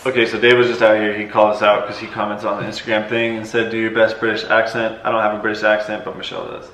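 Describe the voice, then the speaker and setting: A young man with a lowish voice answers viewer questions in a relaxed, rambling way, full of "um" and "like". The recording sounds echoey, with a faint hiss underneath. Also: fast talker. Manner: loose, hesitant, many fillers. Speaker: vlogger host and decathlete. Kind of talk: casual Q&A chat.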